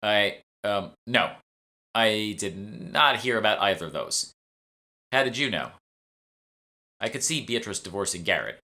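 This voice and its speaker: A man's voice: fairly low pitch, expressive, unhurried, pauses often, bright, forceful tone, exaggerated voice. A man voicing an exasperated, questioning fantasy protagonist in an audiobook. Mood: taken aback. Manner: incredulous, complaining. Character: exasperated, questioning sidekick narrator of urban fantasy.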